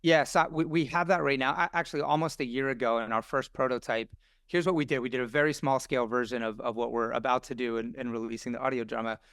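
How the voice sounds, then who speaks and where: A man talking casually on a podcast in an effusive, earnest and animated way. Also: mid-range voice, quick pace, no echo, studio quality.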